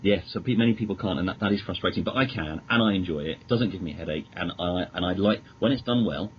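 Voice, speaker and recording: British male interview guest, low voice, hesitant, courteous delivery, dull lo-fi recording with noticeable noise. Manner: hesitant, stammering restarts, polite and measured. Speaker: podcast guest, magazine editor. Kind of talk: casual interview chat about films. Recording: dull lo-fi audio with clear noise.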